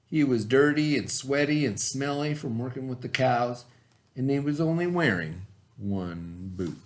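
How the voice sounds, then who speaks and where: A man narrating a children's storybook aloud in an expressive read-aloud style. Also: mid-range voice, unhurried. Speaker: storybook reader.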